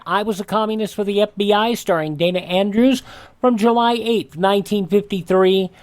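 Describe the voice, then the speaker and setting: A man's voice: high voice, no room sound. A man with an American accent announcing a radio program from a script, as its host.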